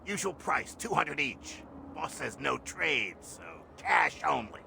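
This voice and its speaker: A very gravelly, sharp-edged slaver from a video game, surly and distrustful. He is brash and impatient, pitched on the high side. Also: big pitch swings, slow pace, a little hiss or hum, exaggerated voice. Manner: brash, irritated, blunt. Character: impatient foul-mouthed slave trader man, surly and distrustful. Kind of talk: video game character dialogue.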